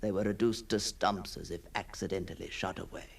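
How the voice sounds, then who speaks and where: An actor playing a haunted, obsessive gentleman in a gothic radio monologue, halting and theatrical, repeating his words with a husky, gravelly voice. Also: deep voice, fairly even intonation. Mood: hushed dread. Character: haunted, obsessive gentleman confronting a ghostly double. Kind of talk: dramatic gothic monologue, radio drama acting.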